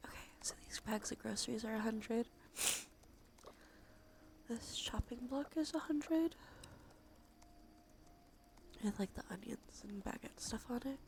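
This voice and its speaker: Girl streamer doing casual asmr, whispery and breathy, kinda high with lots of fry. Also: measured pace, pauses often, darkish tone. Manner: sweary, informal. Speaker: livestreamer and host.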